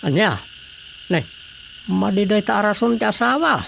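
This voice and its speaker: Casting: jumpy Ilocano village councilman, lively pitch swings, startled exclamations, radio comedy drama. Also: moderate pace, creaky, a little hiss or hum, heavily acted. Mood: puzzled, chatty.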